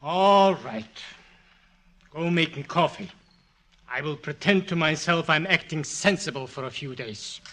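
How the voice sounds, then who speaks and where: High-pitched, fussy older psychoanalyst man. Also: measured pace, fairly bright tone, a little hiss or hum. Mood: exasperated. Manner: grumbling, lecturing, talkative. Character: fussy, wry older male psychoanalyst, fatherly and blunt. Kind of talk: 1940s radio acting. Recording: restored vintage radio broadcast, music behind.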